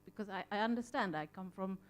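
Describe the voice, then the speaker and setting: A woman's voice: mid-range voice, quick pace. A woman from Sri Lanka speaking on a conference panel, earnest and reflective, with frequent ums as she thinks. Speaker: museum volunteer panelist. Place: conference room.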